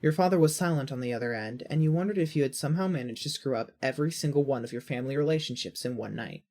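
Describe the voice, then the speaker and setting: A woman's voice: very deep voice, rapid-fire, warm tone. A woman reading scripted second-person story narration.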